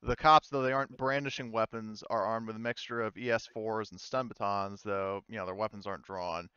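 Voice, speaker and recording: Male game master, low voice, casual rambling improvised narration; dry, slightly muffled recording. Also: fairly even intonation, brisk, pushed and bright, clean tone, dry recording.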